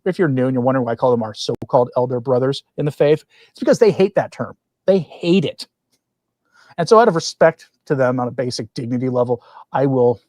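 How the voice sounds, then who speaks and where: A man's voice: mid-range voice, darkish tone, no echo. A man with a mid-range voice delivers opinionated religious commentary as a livestream host. He sounds earnest, with a wry streak in his asides. The recording is dry, with very little room sound. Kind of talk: opinionated commentary, partly reading quoted text aloud.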